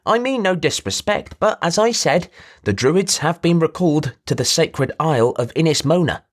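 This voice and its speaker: A man's voice: a little high, animated, moderate pace, pitched above the performer's own voice. A man voicing a cheerful middle-aged male merchant, nasal, theatrical and good-natured. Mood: insistent.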